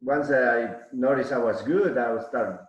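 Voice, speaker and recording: A man's voice: medium pitch, unhurried. A middle-aged man from Mexico City, a podcast guest telling rambling stories full of restarts and fillers. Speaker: podcast guest, arm wrestler. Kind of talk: casual interview storytelling. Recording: echoey, muffled audio.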